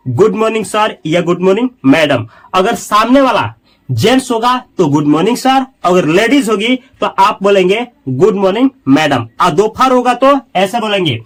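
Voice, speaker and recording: A man teaching a spoken English lesson, explaining in Hindi and reading English sample sentences slowly and deliberately. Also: high-pitched, fairly bright tone.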